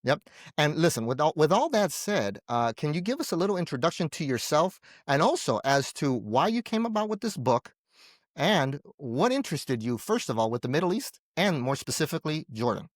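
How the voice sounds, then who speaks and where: Higher-voiced man hosting an interview show. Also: big pitch swings, moderate pace, no echo, clean studio sound. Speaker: show host interviewing a guest. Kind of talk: conversational show introduction and interview questions.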